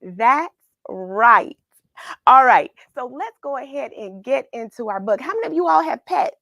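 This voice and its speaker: American lady doing a kids story livestream, slow pace, kinda high voice, chatty and encouraging, dry sound. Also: animated, bright, forceful tone, no room sound. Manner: encouraging, question-asking, addresses children directly. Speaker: children's read-along host. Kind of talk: chatty livestream greetings and story reading for children.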